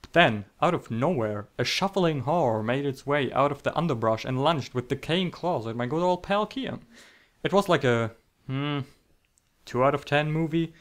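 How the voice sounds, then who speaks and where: A man's voice: a little high, animated, normal speed. A man telling comedic scripted stories in a theatrical, sarcastic, mock-dramatic manner. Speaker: storytelling video creator.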